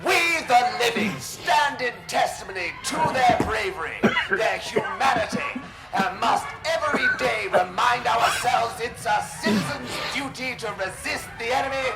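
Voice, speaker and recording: A man playing a grim, fervent wartime propaganda announcer in a radio drama, declaiming in a shout. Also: extremely high pitch, normal speed, vocal fry. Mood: fervent outrage. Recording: echoing, under loud music. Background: loud music.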